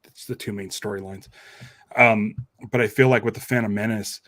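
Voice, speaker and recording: A man's voice: lowish voice, steady tone, normal speed, fairly bright tone, no echo. A man in a casual fan podcast chat, enthusiastic and thinking aloud in rambling fragments. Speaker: podcast host.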